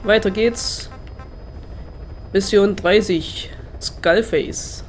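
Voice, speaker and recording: A man's voice: sits high, extremely slow, soft background music. A man with a slightly congested, nasal voice from a cold, giving casual, hesitant Let's Play commentary as he thinks aloud.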